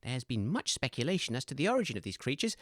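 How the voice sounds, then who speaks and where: A woman's voice: extremely low pitch, big pitch swings, quick pace, dry recording, studio quality. A woman playing an earnest, fussy, easily exasperated radio co-host in scripted comedy, hesitant and reproachful. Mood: earnest newsreading.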